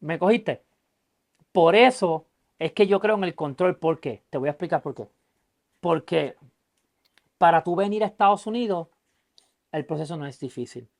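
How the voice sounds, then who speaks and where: A man's voice: high voice, expressive, normal speed, long pauses, a little mellow. A man hosting a podcast, talking casually about politics with a guest, speaking emphatically and acting out quoted dialogue in his stories. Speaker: podcast host.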